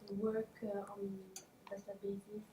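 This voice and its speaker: A young woman from Morocco, a student, introducing herself in class in a quiet, halting way. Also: medium pitch, monotone. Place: lecture room. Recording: echoey, noisy classroom from far off.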